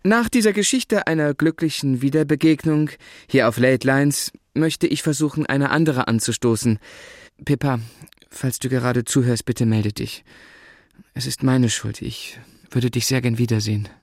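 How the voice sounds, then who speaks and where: An actor playing a gentle, courteous radio host in his thirties in a radio drama, speaking earnestly. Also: medium pitch, moderate pace, no room sound, clean studio sound, lightly acted. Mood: earnest, regretful. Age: 30s.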